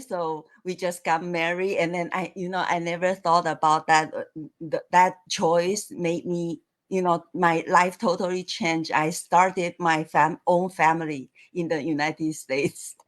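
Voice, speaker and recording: A woman's voice: on the low side, steady tone. A woman guest in a casual online chat, speaking hesitantly with frequent small laughs. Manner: slow, hesitant, laughs often. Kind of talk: casual live chat interview. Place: lived and worked in the United States.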